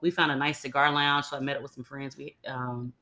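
Laid-back woman podcast host, slightly raspy. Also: rumbling low, fairly quick. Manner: relaxed storytelling with slang and fillers. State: a little raspy from early morning. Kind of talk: casual chat.

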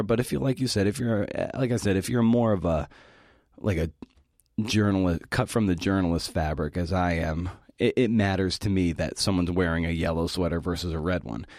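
A man's voice: low-pitched, a little mellow, dry recording, studio quality. A man hosting a podcast, giving a conversational scripted talk with wry, enthusiastic asides.

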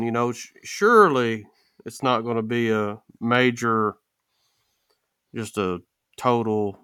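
A man's voice: mid-range voice, fairly bright tone, smooth, no room sound, studio quality. A man co-hosting a casual chat in colloquial, folksy language. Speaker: podcast co-host.

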